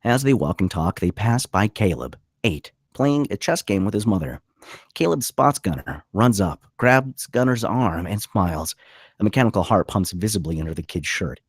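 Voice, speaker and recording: A man's voice: on the low side, animated, moderate pace, breathy edge, no echo, clean studio sound. A man reading the stage directions as scripted narration at a screenplay table read.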